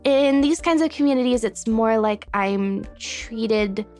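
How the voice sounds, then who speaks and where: A woman's voice: a little high, unhurried, darkish tone, faint music behind. A woman guest on a podcast, chatting casually in a bubbly, giggly way.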